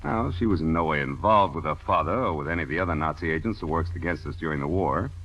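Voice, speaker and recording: An actor playing a businesslike American government intelligence chief, brisk and dry, in a 1940s radio drama. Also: male voice, very deep voice, a bit bright, husky, heavily acted. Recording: muffled vintage radio broadcast.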